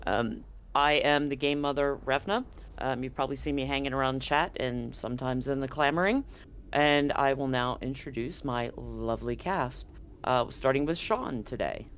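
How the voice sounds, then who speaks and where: A woman's voice: very deep voice, normal speed. Woman, game master, chatty, rambling, restarts often, faint hiss. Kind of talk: recapping a tabletop game to a live audience.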